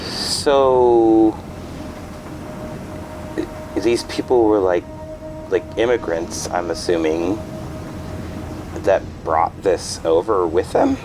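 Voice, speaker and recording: A man's voice: deep voice, very slow, pauses often, noisy background. A man playing a curious, puzzled ordinary guy in a naturalistic fiction podcast, casually searching for words. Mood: bewildered, curious.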